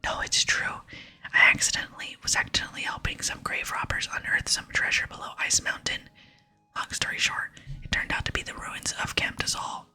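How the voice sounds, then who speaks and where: A woman voicing a polite, eager young female game adventurer in a soft, earnest whisper. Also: a little fast. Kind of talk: whispered reading of game dialogue. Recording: close whisper with music behind.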